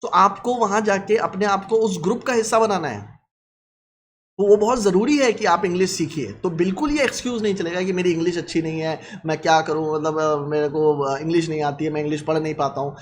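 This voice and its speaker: Blunt high-voiced man. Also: fairly quick, a little hiss or hum. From Lucknow, India. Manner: blunt, lecturing, mixes English phrases into Hindi. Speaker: vlogger. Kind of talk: casual advice monologue.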